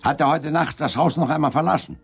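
An actor playing a wry, unflappable Scotland Yard chief inspector with dry irony in a German crime radio play. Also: male voice, fairly high pitch, quick pace, gravelly, breathy edge, faint music behind. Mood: alarmed, urgent.

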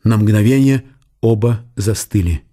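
A man's voice: on the low side, a little slow, dark tone. A man narrating a historical radio play as dramatic literary storytelling.

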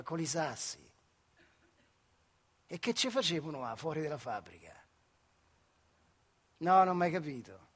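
An actor playing a bitter, weary old retired factory worker in a stage monologue, speaking colloquially with a Marche accent from central Italy, wry and rueful. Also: male voice, on the high side, breathy edge. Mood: bitter, resigned. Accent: central Italian, Marche. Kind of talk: dramatic theatrical monologue.

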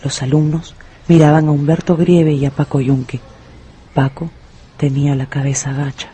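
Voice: medium pitch, level tone, normal speed, dark tone, slight background noise. A narrator reading a scripted story for a radio drama. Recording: music under the narration.

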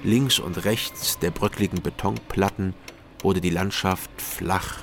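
An actor narrating a crime drama in the first person, in a calm, measured way. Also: male voice, deep voice, vocal fry, a little hiss or hum, heavily acted. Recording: music playing behind.

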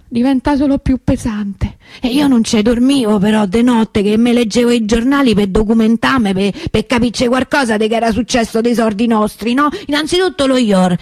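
A woman's voice: a little high, a little mellow, no echo, close to an everyday voice. Actress as a chatty, embittered Roman street seller woman. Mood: indignant, then suspicious, indignant. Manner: rambling, colloquial, confiding. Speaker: stage and radio actress. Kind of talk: theatrical monologue, colloquial storytelling.

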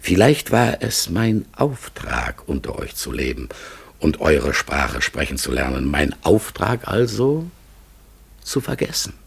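An actor playing a gentle, melancholy talking raven in a radio play, halting and solemn. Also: male voice, very deep voice, big pitch swings, full character voice. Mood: longing, pensive. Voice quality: husky, gravelly, breathy. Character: melancholy talking raven exiled among humans, searching, gentle. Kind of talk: German radio play acting.

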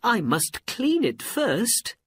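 A caring but fretful mother from a storybook, put on by a woman narrator, exclaims over her troubles. Her voice is lifted and lively, moving at a careful, slow pace. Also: fairly high pitch, husky, dry recording, clean studio sound, voice pushed up, heavily acted. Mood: fussy. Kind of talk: storybook character voice.

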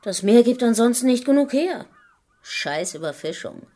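An actress playing a hard-bitten island survivor in a radio drama, talking in a blunt, offhand, sardonic way. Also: female voice, fairly high pitch, big pitch swings, normal speed, dark tone, a little hiss or hum. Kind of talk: German radio drama acting.

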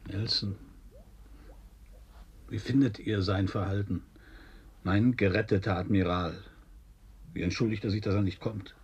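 Proud radio-play dreamer: low voice, heavily rasping, sardonic and stubborn. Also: male voice, steady tone, a little slow, pauses often, full character voice. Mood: wounded pride. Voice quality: very husky, breathy rasp. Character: stubborn, wounded, proud male dreamer named Elias. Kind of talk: German radio drama acting.

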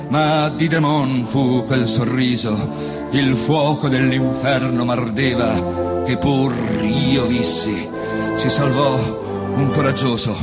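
A man reciting epic autobiographical verse in a solemn, declamatory manner, with deliberate pauses between phrases. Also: mid-range voice, fairly even intonation. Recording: voice over band music accompaniment. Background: wind band music.

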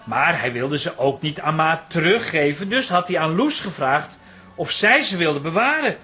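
A man playing a flamboyant, excitable artist brother in a radio drama, eager and emphatic. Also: a little high, moderate pace, faint music behind. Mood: explaining eagerly. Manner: eager, emphatic, repeats words. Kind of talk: Dutch radio drama acting.

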